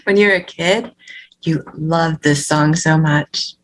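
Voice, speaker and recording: A woman's voice: low voice, slow, poor audio. A woman playing a brash, doting, party-loving mother who coaxes her child, in a script table read. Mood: tender, nostalgic.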